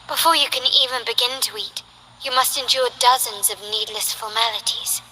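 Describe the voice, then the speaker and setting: An ambitious young heiress of a noble house in a video game. Her voice is on the high side and strikingly bright. She speaks with measured elegance and composure, over faint background noise. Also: fairly even intonation, poor audio. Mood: refined disdain. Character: poised, ambitious young noblewoman heir of an old family. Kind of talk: scripted video game character voice lines.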